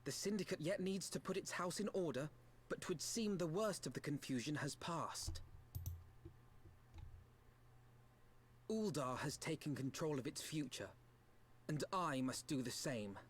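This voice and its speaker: A man's voice: a little high, lively intonation, moderate pace, slight background noise, close to an everyday voice. A man acting an earnest, idealistic young commander in a fantasy game, with solemn and eloquent archaic diction. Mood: solemn, resolute.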